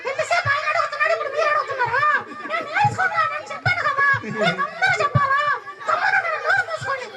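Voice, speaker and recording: Woman performing a little boy puppet, very high and squeaky, cheeky, answering back, far from the mic, crowd of children. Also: fast talker. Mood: cheeky, indignant.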